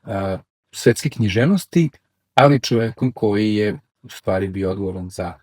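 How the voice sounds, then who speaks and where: Low-voiced agreeable male co-host. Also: moderate pace, a little mellow, dry recording, clean studio sound. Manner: agreeable, frequent backchannel replies. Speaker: podcast co-host. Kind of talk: casual chat.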